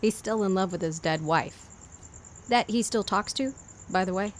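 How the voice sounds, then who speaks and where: A woman's voice: medium pitch, normal speed, close to an everyday voice. A woman acting a blunt, sardonic, exasperated policewoman in a naturalistic audio drama. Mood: wry, weary. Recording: faint outdoor noise with insects.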